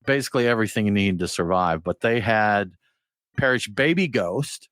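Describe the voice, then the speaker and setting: Guy hosting a beer podcast, lively up and down voice, dry sound, chatty and jokey. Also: fairly low pitch, normal speed, no echo. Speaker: podcast host. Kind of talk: casual chat.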